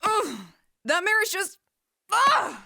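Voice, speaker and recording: Lady doing a moody teen-ish pony girl, high swingy voice, slow-ish delivery, headstrong n sulky. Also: sing-song, bright, forceful tone, no room sound, clean studio sound, heavily acted. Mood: defiant. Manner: petulant, grumbling. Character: moody, headstrong young pegasus mare, sulky daughter. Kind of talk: animated-fantasy audio drama acting.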